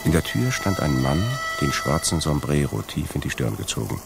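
An actor as a grave, dramatic storyteller, narrating a radio adventure play. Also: male voice, extremely low pitch, sing-song, normal speed, creaky, over loud music, full character voice. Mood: grave, suspenseful.

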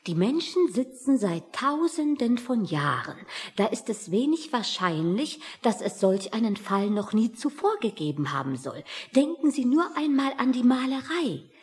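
Nervous, earnest woman, very animated. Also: on the high side, unhurried, few pauses. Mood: earnest, lecturing. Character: nervous, well-read woman waiting outside, earnest and deferential. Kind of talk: satirical German radio play acting.

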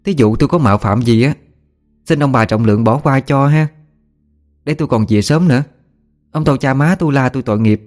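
A man voicing a bold, fiery rural mother with a Southern Vietnamese accent, sharp and scolding. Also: mid-range voice, brisk, long pauses, faint music behind, pitched above the performer's own voice, close to an everyday voice. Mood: pleading.

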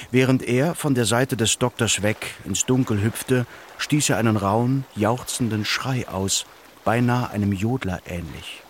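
A man narrating a literary radio play in a scripted storytelling style. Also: mid-range voice. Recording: clear ambient noise behind the voice.

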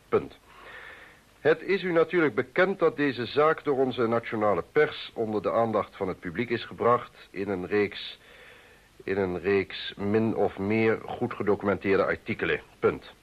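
Low-voiced, self-assured politician. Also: male voice. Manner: brisk, self-assured, dictating to staff. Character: busy, dismissive upper-class male politician. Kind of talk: radio play acting. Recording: dull old radio drama recording.

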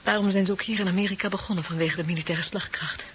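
An earnest, anxious woman of the resistance played by an actress, speaking at a middle pitch. The 1970s radio drama recording is muffled with faint hiss. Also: fairly even intonation, quick pace, natural voice.

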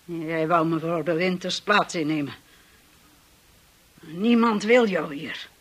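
An actress playing a hostile, obsessive housekeeper in a radio drama, speaking with slow, menacing contempt. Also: female voice, on the low side, a bit bright. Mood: cold, scornful. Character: hostile, obsessive, grieving housekeeper, a grown woman.

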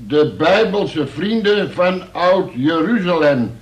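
An actor playing a police sergeant formally reading out a suspect's statement, with small stumbles, in a Dutch radio play. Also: male voice, on the high side, animated, slow, faint music behind. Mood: formal, deliberate. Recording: echoey room.

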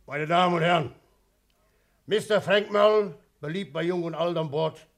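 Man playing a blustering, creaky-voiced ship's captain. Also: fairly high pitch, moderate pace, close to an everyday voice. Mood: hearty. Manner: gruff, hearty, grumbling and cursing. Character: blustering, jovial German ship's captain, middle-aged or older. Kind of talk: 1960 German radio play acting.

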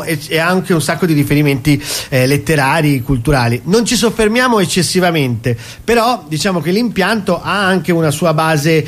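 A man being interviewed as a cabaret actor, talking casually and at length, explaining and stacking up phrases. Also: on the high side. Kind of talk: casual interview talk about his stage show.

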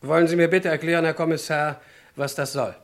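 A man's voice: fairly high pitch, fairly even intonation, fairly quick, close to an everyday voice. A man playing an indignant, cornered sales director under suspicion, formal and defensive, in a 1960s German radio crime play. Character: cornered male sales director, indignant suspect denying everything.

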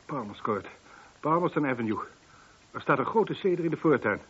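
Curt, husky older gentleman, animated pitch. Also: fairly quick. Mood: terse, shaken. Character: wealthy older householder reporting a shooting, curt and composed. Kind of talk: radio play acting. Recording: dull, lo-fi old radio recording.

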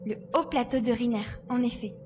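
Woman playing high-voiced, spirited young girl. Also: fairly even intonation, fairly quick, darkish tone, natural voice. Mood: focused. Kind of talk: dramatised audiobook dialogue. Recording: faint background noise.

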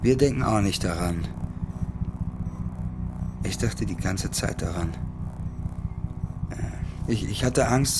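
A man plays a haunted young male soldier in a radio drama. His voice is low, and he speaks haltingly, circling back on words as he confides. Ambient noise sits clearly behind him. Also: natural voice. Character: traumatized young soldier, haunted and sleepless after deployment.

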